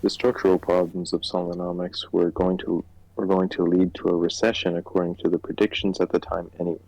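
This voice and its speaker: A man voicing a measured government economic minister in a fan reading of a political game, speaking formally and explaining. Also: deep voice, flat delivery, darkish tone, rough recording. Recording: music behind the voice.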